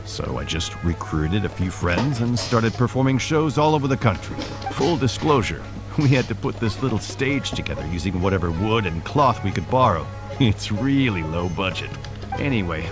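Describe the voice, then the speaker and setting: An actor voicing a friendly, self-deprecating former mercenary turned stage player in a video game, talking casually and candidly. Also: male voice, mid-range voice, natural voice. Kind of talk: conversational video-game dialogue.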